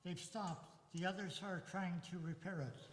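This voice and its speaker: A man's voice: high voice, steady tone, moderate pace, natural voice. A man playing an anxious artillery spotter in a live radio drama, reporting tersely from an echoey, noisy hall. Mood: tense, alarmed.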